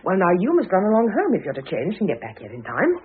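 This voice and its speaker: An actress playing a composed middle-aged ex-wife and mother in a radio drama, gentle, wry and affectionately teasing. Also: lowish voice, wildly swooping pitch, fast, breathy edge, exaggerated voice. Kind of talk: classic British radio drama acting. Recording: muffled phone-like old radio recording.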